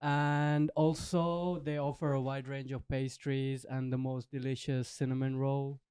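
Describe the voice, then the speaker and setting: A man's voice: medium pitch, level tone, measured pace, darkish tone, clean tone. A young man hosting a casual interview in a bakery, rambling and upbeat. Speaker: host.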